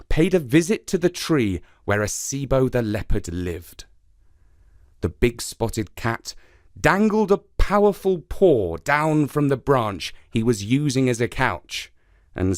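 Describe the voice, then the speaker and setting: A man tells a children's folktale from a script. His fairly high voice moves expressively up and down at an unhurried pace, recorded dry. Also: dry recording. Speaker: storyteller.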